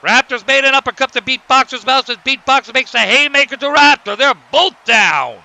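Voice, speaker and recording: Excitable male wrestling commentator, acted: high voice, piercing bright edge, blow-by-blow cartoon fight calls. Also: brisk, heavily acted. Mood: excited. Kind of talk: sports commentary.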